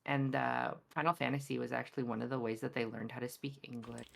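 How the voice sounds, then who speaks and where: Very low, gravelly-voiced woman. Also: little pitch movement, quick pace, noisy background. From Canada. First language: Polish. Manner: hesitant, many fillers and restarts. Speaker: guest. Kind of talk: casual chat. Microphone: voice call.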